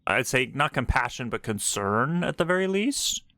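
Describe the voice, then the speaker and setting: A man streaming a video game playthrough, commenting casually in a slangy, excitable, reactive way into a close mic. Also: mid-range voice, normal speed, dry recording.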